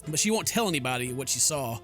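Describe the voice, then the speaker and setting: A man's voice: medium pitch, animated, fast, fairly bright tone. A man hosting a gaming video, commenting casually with sarcastic, mocking asides and sometimes reading narration aloud. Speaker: gaming video host, let's player. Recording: game music under the voice.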